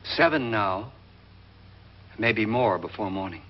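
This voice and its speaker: Haunted doom-monger, grave, fearful, highly varied pitch, 1950s horror film, dull old soundtrack. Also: male voice, lively intonation, takes it slowly, a little hiss or hum. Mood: ominous, fearful. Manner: ominous, grave, brooding. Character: haunted, morbid, fearful believer in murderous ghosts.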